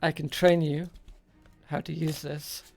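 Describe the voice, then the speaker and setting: A man voicing a stern female weapons trainer in a tabletop game, speaking in terse, measured, matter-of-fact sentences. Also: on the high side, flat delivery, creaky, a little mellow, faint music behind. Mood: stern, instructive.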